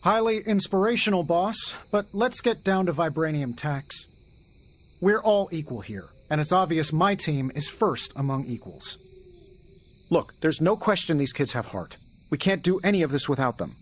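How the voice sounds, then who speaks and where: A man's voice: a little high, lively intonation, moderate pace, a little hiss or hum, natural voice. A man acting a cocky, wisecracking superhero team leader in a video game, with a glib, sarcastic, self-assured manner. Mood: insistent, cocky.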